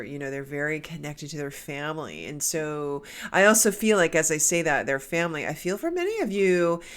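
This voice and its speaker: A woman doing a casual spiritual card reading, her nose stuffed up from a cold, talking in a meandering way with many restarts. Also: low-pitched. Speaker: tarot card reader.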